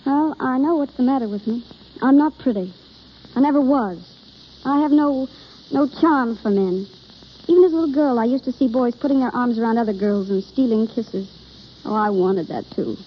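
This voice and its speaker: A woman's voice: fairly high pitch, moderate pace, natural voice. An actress playing a shy, earnest young woman yearning for romance in 1930s radio drama style, confiding and hesitant. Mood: earnest, wistful. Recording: scratchy, muffled old radio broadcast.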